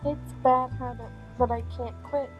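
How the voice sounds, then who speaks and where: A woman's voice: high-pitched, steady tone, a little slow, highs cut off, faint music behind. A woman voicing an arrogant, irritable young cat-spirit girl from a visual novel, haughty and snappish. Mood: haughty, unrepentant.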